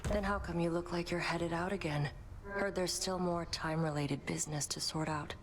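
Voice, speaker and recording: Game role: woman as brooding warrior goddess, very low voice, clipped, skeptical, clear background noise. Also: fairly even intonation, moderate pace, lightly acted. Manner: terse, blunt, clipped phrasing. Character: gruff, suspicious warrior goddess, blunt and brooding. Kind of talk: video game voice acting. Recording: noisy ambient background.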